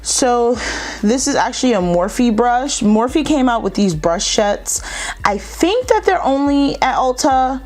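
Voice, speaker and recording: A woman's voice: on the high side, sing-song, a little slow, darkish tone. A young woman with a slight lisp, chatting casually through a makeup tutorial with plenty of fillers. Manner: chatty, rambling, lots of fillers. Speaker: beauty vlogger.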